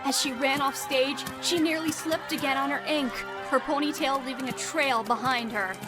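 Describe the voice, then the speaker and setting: A woman reading scripted storybook narration for an audio drama in an expressive, dramatic storytelling manner. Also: high-pitched, moderate pace. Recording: music behind the voice.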